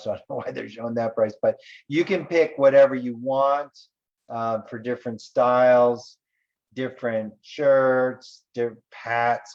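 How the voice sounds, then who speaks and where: A man's voice: medium pitch, darkish tone, muffled recording. A man hosting an online business webinar, talking casually with many fillers and loose asides. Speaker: webinar host.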